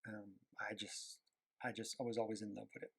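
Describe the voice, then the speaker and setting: A man with a Quebec French accent talks in a fairly low voice as he draws. He is a native French speaker who chats casually in English, often pausing with fillers as he hunts for the right words. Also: flat delivery, vocal fry, a bit bright, husky. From Quebec, Canada. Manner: rambling, searching for words, many fillers. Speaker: animator and illustrator. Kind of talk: casual talk while drawing.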